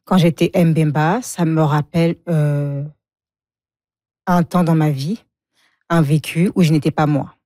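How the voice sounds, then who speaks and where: A woman's voice: low voice, fairly even intonation, measured pace, long pauses, dark tone, no room sound, clean studio sound. A woman in her forties from Congo, a guest speaking frankly about her life and often laughing. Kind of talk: emotional personal interview.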